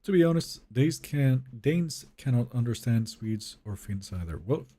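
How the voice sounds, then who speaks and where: A man's voice: mid-range voice, animated, measured pace, warm tone. A young man whose first language is Finnish, casually chatting with viewers and reading their messages during a live game stream from a home setup. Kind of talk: live gameplay stream, reading chat aloud.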